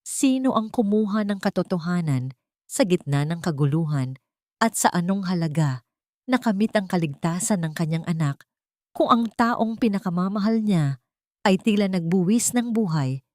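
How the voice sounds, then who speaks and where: A woman's voice: on the low side, expressive, normal speed, darkish tone, no room sound, studio quality. A woman with a Filipino accent reads a scripted dramatic audio story as the storyteller.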